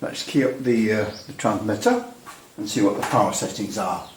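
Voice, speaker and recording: A man's voice: fairly low pitch, normal speed, background noise. A man gives a casual, unscripted technical demonstration in a chatty, rambling, hesitant way with dry humour. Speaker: radio hobbyist presenting a repair demonstration. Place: indoors at a workbench. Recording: echoey room.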